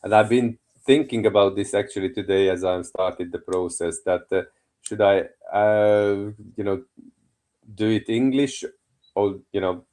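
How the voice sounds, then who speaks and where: Low-ish voiced guy, hungarian native, hesitant, lots of uh and you know. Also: unhurried, warm tone. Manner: hesitant, searching for words. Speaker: participant asking questions. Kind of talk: group call discussion.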